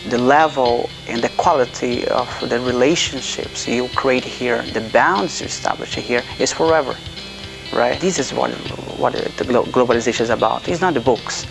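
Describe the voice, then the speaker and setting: A man's voice: mid-range voice, normal speed, creaky. A man from Brazil in his thirties, a doctoral student speaking earnestly in an interview, with frequent hesitations. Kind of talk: interview testimonial. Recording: music bed behind voice.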